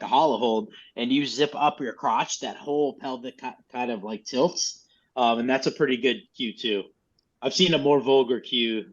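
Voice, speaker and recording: A man coaching a group in casual, informal, mildly profane instructional talk over a lo-fi video call. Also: medium pitch. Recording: dull, lo-fi video call audio.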